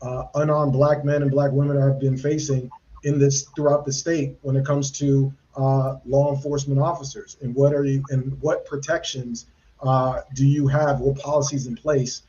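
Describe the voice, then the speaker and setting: A man's voice: mid-range voice, little pitch movement, normal speed, warm tone, dull audio. A man hosting a live podcast interview, asking earnest, rambling questions with many fillers and restarts. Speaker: podcast host.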